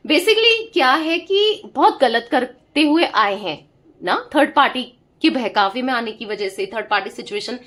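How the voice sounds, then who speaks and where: High-voiced woman reading tarot with a sore throat. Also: lively intonation, measured pace, fairly bright tone. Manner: emphatic, repetitive, talks straight to the viewer with frequent "theek hai?". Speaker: tarot reader. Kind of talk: direct-to-camera tarot reading.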